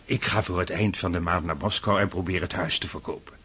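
An actor playing a soft-hearted, hesitant middle-aged father troubled by debts. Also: sits low, steady tone, fairly quick, slight background noise. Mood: gentle. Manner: gentle and hesitant. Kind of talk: radio drama acting.

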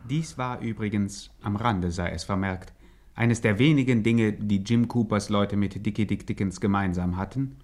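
Dry, exact announcer-narrator for a male actor, low voice, deadpan delivery, old-time scripted radio play. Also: normal speed, a little hiss or hum, natural voice. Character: dry, precise male co-narrator reporting details. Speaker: second narrator.

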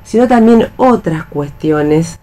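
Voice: mid-range voice, animated, takes it slowly, dark tone. A speaker with an Argentine Spanish accent in a casual round-table discussion of theatre, reflective and hesitant, often seeking agreement. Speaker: radio show panelist.

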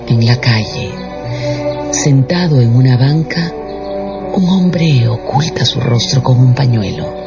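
A man's voice: medium pitch, vocal fry. A man narrating a radio drama as a scripted, dramatic storyteller. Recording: dull radio production with music bed.

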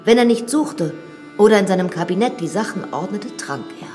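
An actress playing a frank, self-assured young widowed lady in a radio drama, telling things in a measured, confiding way. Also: medium pitch, expressive, a little mellow, close to an everyday voice. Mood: wistful, confiding. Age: young adult. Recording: studio drama with reverb and music.

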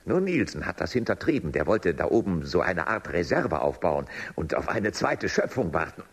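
An actor playing a coldly courteous, patronising official of an underground regime in a German radio drama. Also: male voice, deep voice, big pitch swings, moderate pace, heavily acted. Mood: smug, condescending. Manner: smooth-mannered, patronising, commanding.

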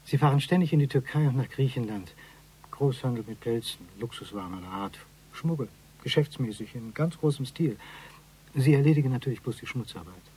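Husky, pompous oddball informant. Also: male voice, mid-range voice, darkish tone, poor audio. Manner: stilted, flowery speech with misused learned words. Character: eccentric, pompous male ex-convict surveillance agent, eagerly obliging. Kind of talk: radio crime drama acting.

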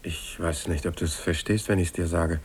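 Young painter for 1950s radio drama. Deep, breathy voice, tender, earnest, quietly puzzled. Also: male voice, fairly even intonation. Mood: tender, wistful. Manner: soft-spoken, earnest, halting.

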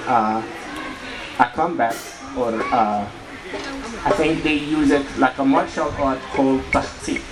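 Egyptian accent dancer talking to the crowd, halting, noisy echoey pa. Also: male voice, a little high. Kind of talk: explaining his dance to an audience. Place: outdoor festival stage.

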